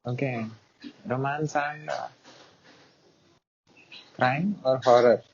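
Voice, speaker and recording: A man's voice: mid-range voice, fairly even intonation, takes it slowly, gravelly, darkish tone. A young man from India hosting an interview over a video call from outdoors, asking short, simple questions and often repeating them. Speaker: host. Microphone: earphone headset on video call. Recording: muffled call audio with faint noise.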